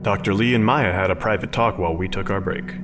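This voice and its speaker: A man's voice: deep voice, fast, natural voice. An actor playing a wry man on an official panel in an audio drama, dry and needling. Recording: distant mic under loud music.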